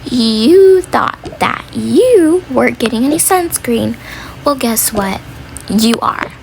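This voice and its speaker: A high-voiced, creaky mom, played by a woman in a homemade role play. She gives orders in a firm, matter-of-fact but caring way. The home recording is noisy. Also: expressive, slow pace, full character voice. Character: brisk, firm mom herding kids on beach day.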